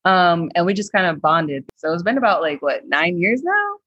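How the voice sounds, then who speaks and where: A woman co-hosting a podcast, telling stories in a relaxed, wry way. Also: lowish voice, moderate pace, breathy edge, dry recording, highs cut off. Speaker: podcast co-host. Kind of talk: casual storytelling.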